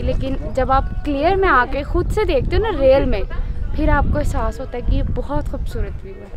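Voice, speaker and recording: A young woman, chatting upbeat to a handheld camera while hiking outdoors on a forest trail, sometimes out of breath. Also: high voice. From Pakistan. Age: young adult. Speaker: travel vlogger. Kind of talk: casual travel vlog narration to camera. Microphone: handheld camera held close.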